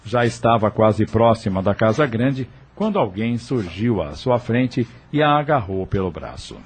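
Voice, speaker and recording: Low, lively Brazilian male narrator. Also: unhurried, slight background noise. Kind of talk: scripted audiobook narration. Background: music.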